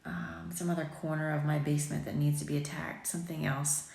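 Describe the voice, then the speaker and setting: Low-voiced woman in her 40s, bit raspy from just waking up, rambly chatty vlog on her phone, echoey kitchen. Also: little pitch movement, fairly quick, a little mellow. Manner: chatty, rambling, self-deprecating, with frequent ums. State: slightly raspy morning voice. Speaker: boutique owner vlogging. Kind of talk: casual morning chat to viewers. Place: sunny home kitchen, indoors. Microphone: phone camera at arm's length.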